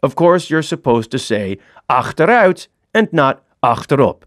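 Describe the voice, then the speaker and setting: Middle-aged man, dry close studio read, animated swoops in pitch, wry self-deprecating comic narrator. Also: unhurried, no echo. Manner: wry, self-mocking storyteller. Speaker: author reading his own audiobook.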